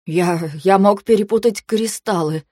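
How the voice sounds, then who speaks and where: A woman voicing a timid, apologetic young man in an audiobook, quiet, hesitant and bleating. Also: medium pitch, fairly quick, no echo, clean studio sound, close to an everyday voice. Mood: nervous, guilty.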